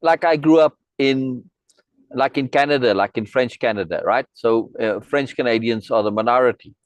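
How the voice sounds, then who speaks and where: A middle-aged man from South Africa speaks on a video call, his voice sitting at an ordinary middle pitch. He rambles in a friendly way with many asides and restarts. The sound is dry and close, with no room around it. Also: moderate pace, no room sound. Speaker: host. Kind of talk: casual chat on a video meeting.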